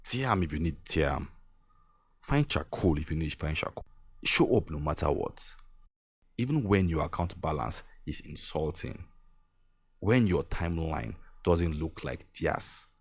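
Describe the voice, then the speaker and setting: A man's voice: rumbling low, lively intonation, measured pace, husky, no echo. A young Nigerian man hosting his own podcast, giving an earnest motivational talk that repeats its points and breaks into small laughs. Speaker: podcast host. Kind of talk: motivational monologue.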